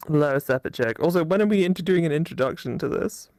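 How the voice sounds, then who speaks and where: A man's voice: a little high, lively intonation, fast talker, darkish tone, no echo, clean studio sound. A man guesting on a gaming stream, chatting casually with crude, mock-serious humour. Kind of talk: casual joking chat.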